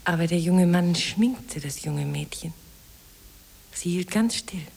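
Longing lover, husky and slightly low, intimate, wounded, poetic delivery, vintage German radio drama. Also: female voice, takes it slowly, a little mellow, full character voice. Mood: wistful. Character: yearning, wounded woman addressing her lover. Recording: old radio play on cassette tape.

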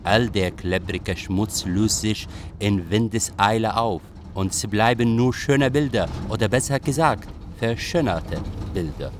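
An actor playing a thoughtful young male refugee writer, narrating a radio drama with wry, deliberate melancholy. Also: fairly low pitch, slight background noise, natural voice. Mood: wry, reflective. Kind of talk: literary first-person radio drama narration. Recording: music bed behind voice.

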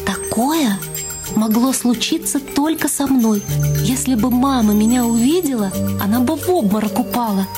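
A woman acting a resourceful young woman on a night train in a radio play. She is polite but urgent, with a fairly high voice. Music runs behind her. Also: moderate pace, lightly acted. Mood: inward, rueful.

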